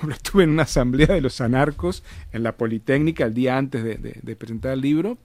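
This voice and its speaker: A man's voice: medium pitch, fairly quick, a little mellow, no echo. A man with an Argentine Spanish accent, telling stories in casual conversation, rambling with frequent restarts and tag questions. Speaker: interviewed author.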